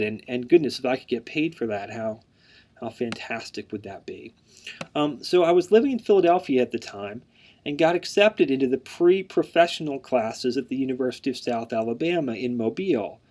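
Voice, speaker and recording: A man in his thirties gives an earnest, plain introduction to an online class. His mild stutter shows in repeated words, and he fills his pauses with "um". His voice sits at an ordinary, middle pitch. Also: darkish tone. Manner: mild stutter with word repetitions; frequent "um" fillers, earnest and plain. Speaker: instructor. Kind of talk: online course introduction lecture.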